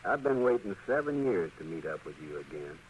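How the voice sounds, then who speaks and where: A man's voice: deep voice, sing-song, a little fast. A man playing a bitter, menacing ex-convict in a radio western, speaking in a hard, insistent, threatening way. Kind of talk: 1950s radio western acting. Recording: dull, noisy old radio recording.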